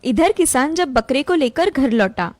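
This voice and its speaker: A woman's voice: high voice, expressive, unhurried. A woman narrator reading a scripted moral story for a cartoon.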